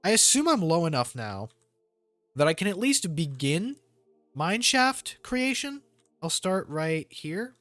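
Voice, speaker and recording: A man's voice: high voice, big pitch swings, unhurried, fairly bright tone, no room sound. Man rambling casually over gameplay, faint music. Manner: rambling, jokey, slangy. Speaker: gamer hosting a let's play.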